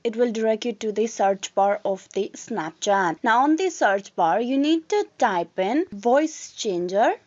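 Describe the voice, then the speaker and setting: A woman's voice: a little high, lively intonation, a little slow, hardly any pauses, darkish tone. A woman hosting a tutorial, giving scripted step-by-step instructions in a how-to narration. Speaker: tutorial host. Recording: dull lo-fi voice-over.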